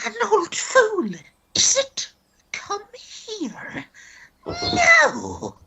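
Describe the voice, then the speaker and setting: A woman playing a fussing, jealous wife, quick to take offence, theatrically acted in a comic radio play. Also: very high voice, wildly swooping pitch, gravelly, pushed and bright, slight background noise, exaggerated voice. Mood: offended.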